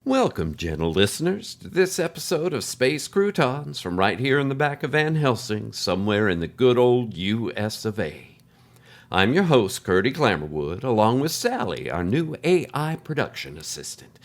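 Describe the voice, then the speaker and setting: A man's voice: mid-range voice, big pitch swings, moderate pace. Guy playing a chatty folksy podcast host, theatrical delivery, voice goes up and down a lot. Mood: showy, welcoming. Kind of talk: scripted comedic podcast hosting.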